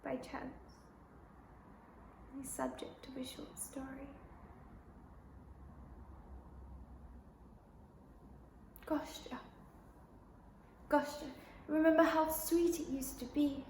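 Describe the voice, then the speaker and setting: A woman playing a weary, wounded young actress in a halting, drifting stage monologue. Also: high-pitched, fairly even intonation, gravelly, darkish tone, reverberant, a little hiss or hum, full character voice. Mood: tender, grieving. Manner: halting, repeating, drifting between thoughts. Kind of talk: stage monologue, classic tragic drama.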